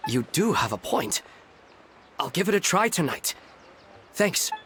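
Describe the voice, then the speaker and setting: A man playing a polite, wistful widower in a video game, speaking in a courteous and halting way. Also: sits high, sing-song, fairly bright tone, slight background noise, exaggerated voice. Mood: grateful. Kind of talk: video game dialogue acting.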